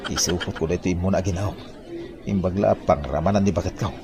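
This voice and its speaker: A man's voice: low voice, fast, very noisy. A man playing a scheming, gluttonous village husband in an Ilocano comedy drama, blustering and evasive. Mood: greedy urgency.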